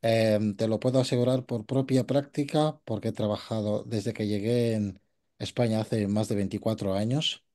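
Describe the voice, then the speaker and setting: Low, mellow-voiced man, hesitant. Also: fairly even intonation, normal speed. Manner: hesitant, frequent fillers. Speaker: conference participant. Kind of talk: conversational remarks at a conference.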